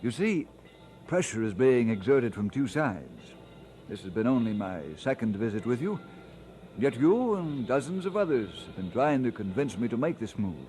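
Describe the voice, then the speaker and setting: An actor playing an earnest, humble pastor, speaking politely and sincerely in an old-time radio drama style. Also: male voice, mid-range voice, very animated, moderate pace, warm tone, faint music behind, lightly acted. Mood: earnest, troubled. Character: earnest, humble, courteous male pastor, newly married. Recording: noisy vintage radio transcription.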